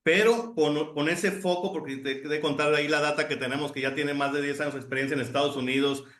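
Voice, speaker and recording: A man's voice: a little high, steady tone, brisk, a bit bright, echoey room. A Honduran middle-aged man co-hosting a podcast, talking chattily and enthusiastically with frequent restarts. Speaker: podcast co-host. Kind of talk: casual podcast conversation.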